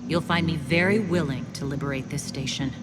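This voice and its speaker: An actress playing a principled female soldier in a sci-fi game, speaking in a measured, restrained, earnest way. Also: deep voice, natural voice. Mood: guarded, regretful. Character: Nyreen, guarded, principled female soldier with military training. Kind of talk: cinematic video game acting. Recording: long reverb, loud music behind.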